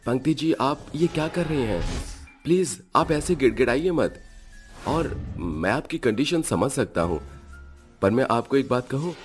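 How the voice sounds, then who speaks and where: A man's voice: medium pitch, voice pushed up. A man playing an apologetic, brotherly businessman in cartoon dialogue, earnest and soothing.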